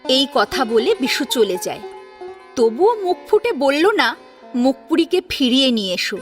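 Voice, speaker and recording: A woman's voice: high-pitched, very animated, a little slow. A woman narrating a story in a dramatic audiobook style. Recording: sitar music behind the voice. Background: plucked sitar music.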